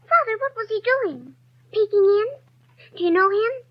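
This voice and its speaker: A woman's voice: extremely high pitch, lively intonation, normal speed. A woman playing a frightened, curious little girl in a 1930s radio drama. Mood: anxious, questioning. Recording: dull 1930s radio broadcast recording.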